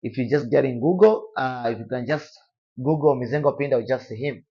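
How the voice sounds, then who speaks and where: A young man from Tanzania with a Tanzanian accent, speaking softly and quietly as a guest in a casual interview. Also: medium pitch, a little fast, gravelly, husky, muffled recording. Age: young adult. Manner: soft-spoken, quiet.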